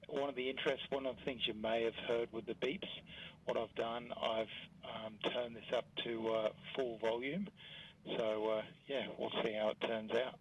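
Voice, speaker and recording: A man talking casually and haltingly in an unscripted audio test, heard through a radio-like Bluetooth intercom. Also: mid-range voice, fairly even intonation, lo-fi sound, a little hiss or hum. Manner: halting, casual, thinking aloud. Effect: Bluetooth intercom transmission, radio-like. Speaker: video maker testing a headset. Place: bedroom. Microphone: intercom headset, re-recorded by a phone.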